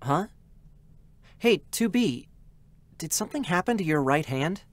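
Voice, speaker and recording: A man's voice: a little high, lively intonation, measured pace, no room sound. A man voicing a boyish, chatty young male android scout in a dramatic reading, eager, hesitant and openly emotional. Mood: alarmed.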